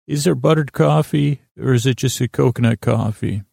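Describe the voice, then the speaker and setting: Very creaky-voiced man, rambling bedtime podcaster. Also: medium pitch, dark tone, no echo, clean studio sound. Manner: meandering, halting, self-interrupting, repeats words. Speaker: podcast host. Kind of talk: rambling bedtime monologue to lull listeners to sleep.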